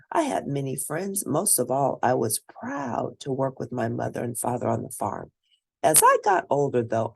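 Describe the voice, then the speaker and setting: A woman with a husky, creaky voice reads a story aloud in the first person. Her delivery is deliberate and expressive, with plenty of rise and fall. The sound is dry, with very little room. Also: very deep voice, no room sound. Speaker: read-aloud narrator.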